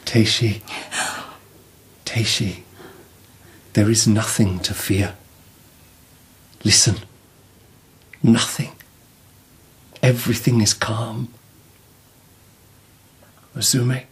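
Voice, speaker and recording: An actor playing a serenely assured emperor of Japan in a radio drama, speaking in measured, deliberate phrases. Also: male voice, on the low side, fairly even intonation. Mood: soothing.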